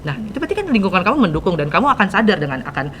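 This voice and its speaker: A woman's voice: sing-song, very fast, a bit bright. A teenage young woman with a Jakarta Indonesian accent, hosting a casual podcast chat in informal youth slang. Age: teens. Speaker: podcast host. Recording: rumbly background noise.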